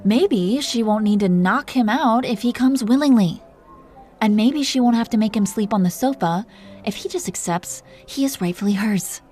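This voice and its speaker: A woman playing a possessive, protective dragon queen in an intimate roleplay audio drama, teasing and coaxing the listener in soft tones that can drop toward a whisper. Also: fairly high pitch, moderate pace, faint music behind, natural voice. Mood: amused, smug.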